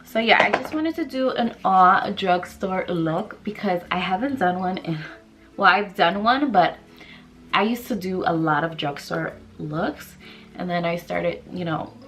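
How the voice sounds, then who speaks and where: Young woman, stuffy nose, lower pitch, chatty rambling beauty tutorial, faint music, small room. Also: unhurried, a bit bright. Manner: chatty and rambling, many likes and fillers. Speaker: beauty vlogger. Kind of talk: casual makeup tutorial chat. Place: small bedroom vanity indoors.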